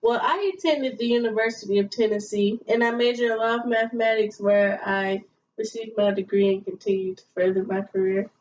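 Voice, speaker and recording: A woman's voice: medium pitch, steady tone, a little fast, lightly acted. A young woman playing a formal investigator guest in a scripted amateur interview. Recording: muffled, distant mic with hiss.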